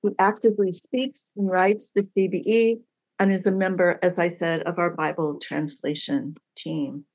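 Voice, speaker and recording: A woman's voice: lowish voice, steady tone, normal speed, dull audio. A woman hosting a podcast interview, gracious and affirming as she introduces and questions her guest. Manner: gracious, with soft affirming murmurs. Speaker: podcast host.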